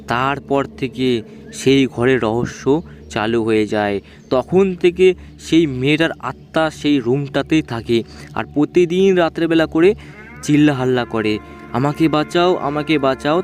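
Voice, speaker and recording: Higher-pitched male ghost-story narrator. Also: darkish tone. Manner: repetitive, simple spoken storytelling. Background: background music.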